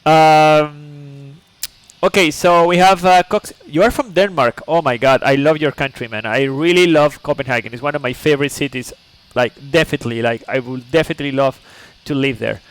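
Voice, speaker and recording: Man explaining things in a higher voice. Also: moderate pace, clean tone, no echo, low-quality recording. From Chile. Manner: patient and instructive, checks in often with "okay?"; chatty asides to viewers. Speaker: flight sim streamer. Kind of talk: explaining steps while streaming live.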